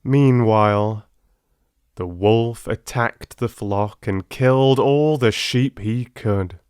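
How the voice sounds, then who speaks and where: A man reading a storybook tale aloud as an audiobook narrator, with expressive, dramatic and deliberate delivery. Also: on the low side, clear, resonant tone, no echo, clean studio sound.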